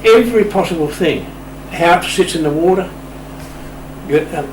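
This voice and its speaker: A man's voice: high-pitched, moderate pace. An older Australian man being interviewed, telling anecdotes in a dry, wry, plain-spoken way. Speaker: interview guest, sailing official. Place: indoor room, radio shack. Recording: roomy, distant mic, steady hum.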